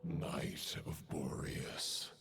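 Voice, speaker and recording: An actor playing a grave, chivalrous knight villain in a video game, speaking in a formal, archaic and gravelly way. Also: male voice, drawn-out words, in a whisper. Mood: grave, courteous. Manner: formal, archaic, stately speech. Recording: game music behind the voice.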